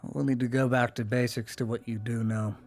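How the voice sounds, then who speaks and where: A man playing a cold, zealous imperial enforcer in a live roleplay, scornful and commanding, dropping to whispers and rising to shouts. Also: medium pitch, level tone, a little fast, soft background music, natural voice. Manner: swings between soft whispers and sudden shouts. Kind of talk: live roleplay in a virtual world.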